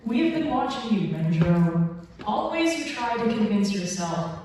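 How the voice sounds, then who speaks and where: A woman's voice: medium pitch, lively intonation, normal speed, natural voice. A woman playing a grave, stern emissary delivering an ultimatum in a dramatic script reading, recorded in an echoey room. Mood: solemn, menacing. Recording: very echoey hall, far mic, faint noise.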